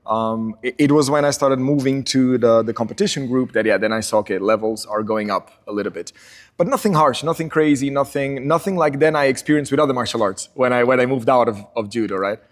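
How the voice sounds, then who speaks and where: A man's voice: medium pitch, fast talker. Laid-back Portuguese-born man, chatting easily. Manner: laid-back, laughs often, says "you know" a lot. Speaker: podcast guest. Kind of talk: casual chat.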